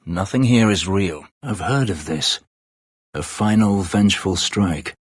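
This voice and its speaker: Brooding elf warrior, very low husky voice, bitter ex-slave, terse formal phrasing, video game dialogue. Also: male voice, a little slow, no room sound, clean studio sound. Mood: guarded, sombre.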